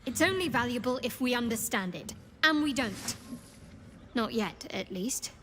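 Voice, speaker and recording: A woman playing a guarded, devout young female cleric of a dark goddess in a fantasy video game, speaking in a measured way. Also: fairly high pitch, expressive, a little hiss or hum. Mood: cool, wary.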